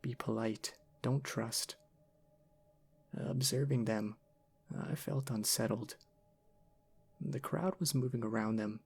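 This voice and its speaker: Creaky-voiced man narrating horror ASMR. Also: mid-range voice, takes it slowly, long pauses. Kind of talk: ASMR scripted story narration.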